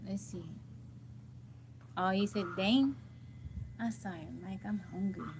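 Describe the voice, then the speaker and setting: A woman's voice: medium pitch, slow, background noise. An American woman hosting a casual livestream, greeting viewers by name and chatting about being hungry and jittery. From United States. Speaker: livestream host. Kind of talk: casual live chat with viewers.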